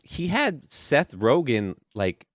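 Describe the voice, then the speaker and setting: A man's voice: mid-range voice, very animated, slow pace, no room sound, studio quality. A man co-hosting a podcast, chatting casually about movies with emphatic, sweary opinions. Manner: emphatic, profane. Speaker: podcast co-host. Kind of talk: casual chat, movie discussion.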